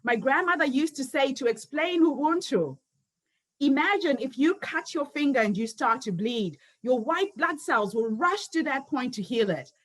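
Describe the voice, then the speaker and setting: A woman's voice: high-pitched, expressive, normal speed, poor audio. A woman, giving an earnest motivational speech built on personal stories. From Zimbabwe. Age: 50s. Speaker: summit founder and speaker.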